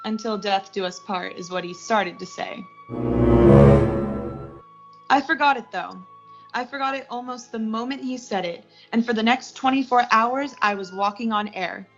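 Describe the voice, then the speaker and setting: A woman's voice: a little high, sing-song, moderate pace, muffled recording, music in the background. A young woman playing an ambitious, blunt, easily rattled career woman in a 1940s-style suspense radio play. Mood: confiding, tense. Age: young adult.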